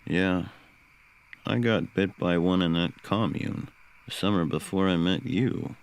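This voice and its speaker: A man playing an easygoing, warm-hearted uncle in a fan-dubbed motion comic, speaking in a gravelly, unhurried, folksy way. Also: sits low. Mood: calm. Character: easygoing older uncle, gruff and fond. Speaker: Uncle Ben.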